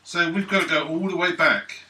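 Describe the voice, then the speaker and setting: A man's voice: fairly high pitch, fairly quick, pushed and bright. A man playing a tabletop game, chatting and joking casually with the group. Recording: roomy far-off tabletop recording, faint noise.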